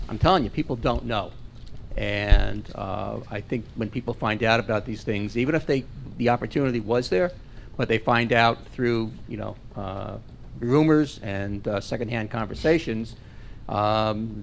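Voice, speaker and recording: A man speaking at public comment in a town council meeting, earnest and measured, with many fillers. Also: medium pitch, a little hiss or hum. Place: council chamber.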